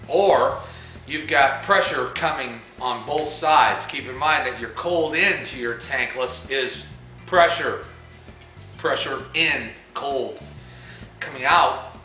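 A middle-aged man explains in a patient, step-by-step way, now and then repeating himself. His voice is bright and forward. It rings in a small, echoey room, with faint music behind. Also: medium pitch. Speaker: company technical presenter. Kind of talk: instructional talk to camera. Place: small indoor utility room with plumbing.

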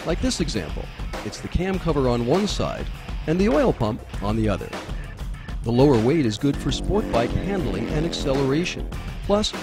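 A male narrator delivers scripted documentary voice-over in a smooth, polished manner. His pitch moves in an animated, expressive way, and a music bed plays clearly behind him.

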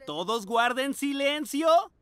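A man's voice: extremely high pitch, lively intonation, slow, a crowd in the background, exaggerated voice. An actor playing a cheerful male teacher in a dubbed children's cartoon, speaking with jolly enthusiasm. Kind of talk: Spanish cartoon dub. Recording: music bed behind the voice.

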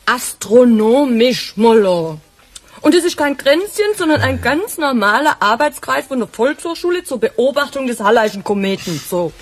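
An actress playing a gentle, patient mother and wife in a radio crime drama, speaking tenderly and coaxingly. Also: a little high, animated, moderate pace, background noise, natural voice. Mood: homely, chiding.

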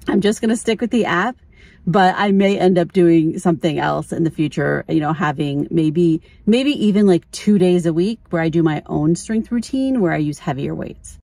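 Middle-aged female vlogger, gravelly fry in a lowish voice, candid and conversational. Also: a little mellow, dry recording. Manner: informal, candid, thinking aloud. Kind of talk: casual chat.

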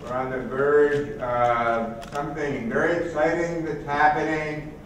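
A man in his sixties giving a conversational keynote speech in an informal, halting way. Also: fairly high pitch, steady tone. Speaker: keynote speaker. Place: large hotel conference ballroom, at podium. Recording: echoey hall from far off, noisy.

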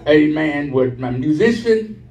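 A man's voice: big pitch swings, unhurried, music in the background. A middle-aged man preaching a sermon at the pulpit, building up in call-and-response style as the congregation answers. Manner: call-and-response preaching, rising in pitch and fervor. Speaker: guest preacher. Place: large church sanctuary. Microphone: pulpit mic, filmed from the pews. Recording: echoey church, distant, congregation responding. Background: congregation calling back.

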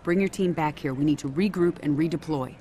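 An actress playing a stern, authoritative military general in a video game, clipped and commanding. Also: female voice, deep voice, brisk, mellow, slight background noise, lightly acted. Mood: firm, urgent. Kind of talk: dramatic video game cutscene acting.

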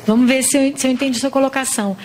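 High-voiced Brazilian woman lecturing. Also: steady tone, very fast, darkish tone, a little hiss or hum. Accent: Brazilian Portuguese. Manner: explanatory, frequent tag questions. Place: large auditorium. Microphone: handheld microphone.

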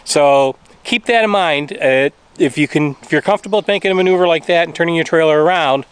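A man's voice: a little high, fast, smooth. A middle-aged man talking casually to a handheld camera outdoors, giving practical advice about his campground. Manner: plain, practical, explaining to viewers. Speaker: travel vlogger. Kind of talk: casual travel vlog talk. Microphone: camera held at arm's length.

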